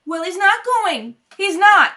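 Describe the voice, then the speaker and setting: Woman acting a very high, gushing lovesick girl. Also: very animated, slow, bright, forceful tone, heavily acted. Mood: pleading, sulky. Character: impulsive, lovesick, melodramatic young society girl Irene. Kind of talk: 1930s radio comedy acting.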